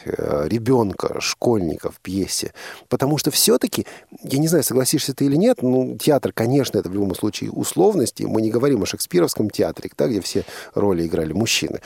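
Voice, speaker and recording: A man's voice: mid-range voice, creaky, mellow, breathy edge, no echo, clean studio sound. A man hosting a conversational radio interview, talking in a discursive, opinionated way and qualifying his own remarks. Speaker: radio host. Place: radio studio.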